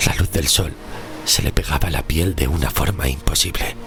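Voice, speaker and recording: An actor playing a weary, tormented sixteenth-century shipwrecked sailor, narrating a horror audio drama in a grave, slow, literary way with a gravelly, very deep voice. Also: male voice. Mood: awed. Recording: music under the voice.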